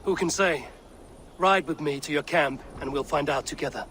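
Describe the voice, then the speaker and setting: A man's voice: a little high, normal speed, a bit bright, close to an everyday voice. A man playing a bold, wry Viking warrior in a video game, assured and terse. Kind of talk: video game cutscene dialogue. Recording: clear outdoor ambient noise behind.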